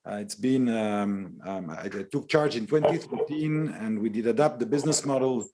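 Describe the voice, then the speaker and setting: Halting male airline executive. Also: mid-range voice, noisy background. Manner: halting, repeats words. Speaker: panelist, airline regional head. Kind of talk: online panel introduction.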